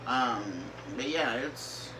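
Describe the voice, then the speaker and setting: A young man chats loosely while he plays, stumbling over words and circling back with "you know" again and again. His voice sits at an ordinary middle pitch, and loud car and engine noise from the game runs underneath him. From northern United States. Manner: halting, many fillers and restarts. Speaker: gaming YouTuber. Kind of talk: rambling casual commentary over gameplay.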